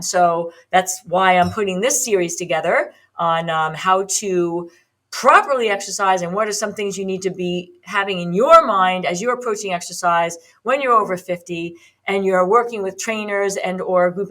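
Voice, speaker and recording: A woman's voice: on the low side, a bit bright. A woman in her late fifties hosting a podcast as a fitness trainer, talking in a rambling, chatty way with frequent ums and restarts. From United States. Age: 50s. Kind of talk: solo podcast talk.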